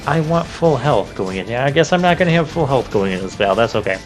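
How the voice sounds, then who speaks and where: A man comments casually over a video game in a medium-pitched voice. He is informal and chatty, thinking aloud as he plays. Game music runs clearly underneath. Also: lively intonation, quick pace. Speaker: video game let's player. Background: game music and crashing effects.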